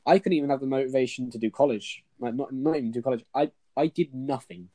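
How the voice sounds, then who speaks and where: A man's voice: mid-range voice, quick pace, warm tone. A young man interviewing casually, rambling, repeating himself and swearing. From England. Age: young adult. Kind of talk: casual chat.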